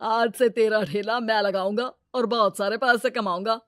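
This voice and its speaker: A woman's voice: on the high side, steady tone, normal speed, a bit bright, no room sound, clean studio sound, close to an everyday voice. A woman voicing a greedy, scheming village moneylender, a brusque and bossy husband in a cartoon moral story. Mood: gloating.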